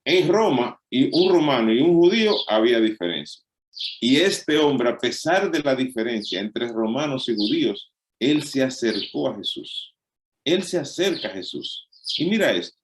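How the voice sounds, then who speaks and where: A man's voice: medium pitch, big pitch swings, normal speed, roomy sound. A middle-aged Dominican man with a Dominican accent, preaching a sermon in a conversational way, often restarting and filling with "eh". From Dominican Republic. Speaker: pastor.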